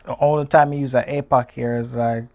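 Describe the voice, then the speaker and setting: A man's voice: mid-range voice, steady tone, vocal fry, darkish tone. A man originally from Jamaica, talking casually through gameplay tips with frequent ums. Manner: explains slowly with many ums. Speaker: gamer giving tips.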